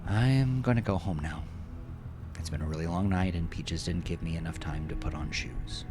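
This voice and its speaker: A man's voice: low voice, moderate pace, over music, natural voice. A man voicing a weary, guarded, stubborn female detective in an audio drama, speaking bluntly and tersely.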